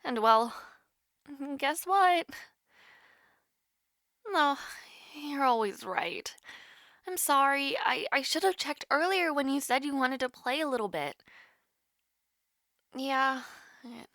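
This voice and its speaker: Casting: actress as nervous, playful young woman in intimate roleplay; bright voice, unhurried, halting delivery. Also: high voice, animated, no echo, clean studio sound, exaggerated voice. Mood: sheepish, apologetic. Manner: hesitant, halting, teasing. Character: nervous, playful young woman calling her online crush. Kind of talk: intimate audio roleplay, one-sided call.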